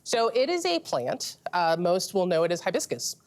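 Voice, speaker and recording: A woman's voice: lowish voice, normal speed. A woman from Trinidad with a Trinidadian accent, talking casually as a guest about the beers being tasted. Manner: explanatory, relaxed, with fillers. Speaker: beer expert guest. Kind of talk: casual tasting chat.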